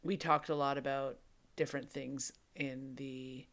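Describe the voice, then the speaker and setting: A woman's voice: a bass voice, flat delivery, measured pace. A woman podcast co-host recording a casual personal journal of her reflections on a book.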